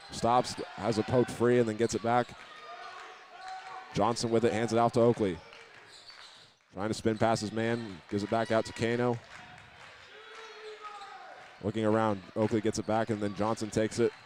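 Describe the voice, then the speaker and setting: A man's voice: on the low side, darkish tone. A man giving live play-by-play commentary of a basketball game in short, plain descriptive phrases. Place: indoor high school basketball game. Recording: live gym recording with background noise.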